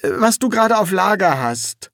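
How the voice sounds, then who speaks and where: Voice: mid-range voice, big pitch swings, takes it slowly, no room sound, clean studio sound, voice pushed up. A narrator voicing an eager, gullible young male demonologist who makes demands and then pleads wistfully. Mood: pleading. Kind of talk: audiobook reading.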